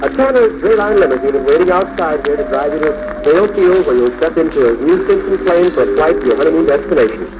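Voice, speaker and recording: A man's voice: on the high side, animated, fast, hardly any pauses, noisy background. A man hosting a 1940s radio show in the announcing style of the era, on an old recording.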